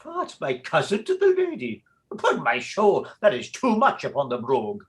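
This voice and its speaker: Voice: medium pitch, huge pitch swings, moderate pace. A performer doing a flustered Irish priest. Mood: blustering. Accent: Irish brogue. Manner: theatrical, flustered, wheedling. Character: Irish priest posing as a Flemish chaplain, male. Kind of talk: stage-play reading.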